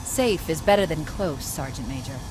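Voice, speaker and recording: A woman's voice: deep voice, animated, moderate pace, background noise. A woman playing a composed, earnest female military AI companion in a video game. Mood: calm, focused.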